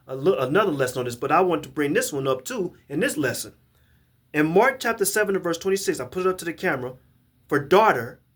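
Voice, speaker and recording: A man's voice: fairly high pitch, a bit bright. A man giving a scripture lesson to a camera, emphatic and often repeating and restarting his words as he reads verses aloud. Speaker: Bible teacher.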